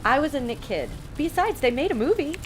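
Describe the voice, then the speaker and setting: Cheeky, animated young agent. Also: female voice, sits high. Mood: cheeky, brisk. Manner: wisecracking, brisk. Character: cheeky, quick-witted young female surveillance agent. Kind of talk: scripted audio drama acting. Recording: clear noisy ambience behind voice.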